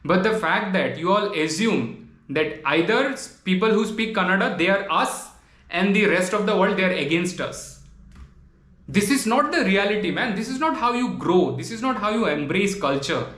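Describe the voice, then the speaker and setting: A man's voice: high voice, expressive, normal speed, fairly bright tone, roomy sound. A man from Northeast India whose first language is Bengali, making an earnest, pleading vlog monologue and often ending lines with "man". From Tripura, Northeast India. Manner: earnest, pleading, repeats phrases and says "man". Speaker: vlogger. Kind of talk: impassioned direct-to-camera monologue.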